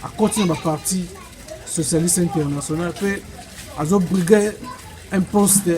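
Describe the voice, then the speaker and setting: A man's voice: high voice, measured pace. A man interviewing people, asking brief, probing questions and cutting in.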